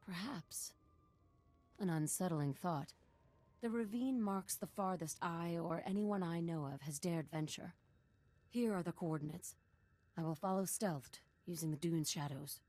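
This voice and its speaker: An actress playing a dutiful, cautious desert scout in a video game, formal and matter-of-fact. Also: female voice, fairly low pitch, unhurried, darkish tone. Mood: wary, intrigued. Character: dutiful, cautious female desert scout serving a Sith lord. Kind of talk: video game dialogue acting.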